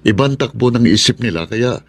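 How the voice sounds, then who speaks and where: A Filipino actor playing a patient, devoted husband who reasons and placates in a radio drama. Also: male voice, mid-range voice, lively intonation, moderate pace. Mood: patient, exasperated. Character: patient, devoted, exasperated husband of a bossy woman.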